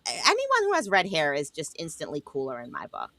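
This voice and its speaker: A woman's voice: sits low, wildly swooping pitch, brisk, pushed and bright. A woman with a New York-sounding accent, chatting casually and at length as a co-host on a livestream over a video call. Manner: chatty, talkative rambling storyteller.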